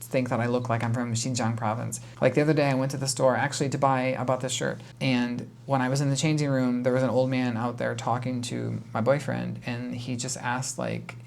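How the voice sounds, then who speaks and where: A man's voice: medium pitch, little pitch movement, brisk, vocal fry, few pauses, slight background noise. An American man giving casual reaction commentary, talking in a rambling, conversational and often exasperated way. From United States. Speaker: YouTube host reacting to a video.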